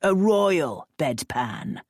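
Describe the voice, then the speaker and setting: A woman's voice: deep voice, sing-song, drawn-out words, darkish tone, no room sound, studio quality, heavily acted. A woman voicing a grey-haired older man with a pipe who lectures and argues persistently. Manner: lecturing, argumentative.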